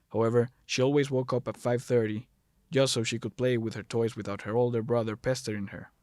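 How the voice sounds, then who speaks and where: A man's voice: mid-range voice, a little mellow, no room sound. A man serves as narrator of a scripted audio drama. His voice is mid-range, and he reads the story in prose.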